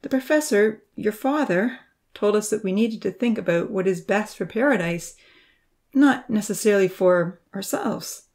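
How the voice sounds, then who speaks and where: A woman voicing a troubled, scarred young man in a story, speaking haltingly with a wavering voice. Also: mid-range voice, lively intonation, darkish tone, lightly acted. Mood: earnest. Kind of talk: storybook character reading.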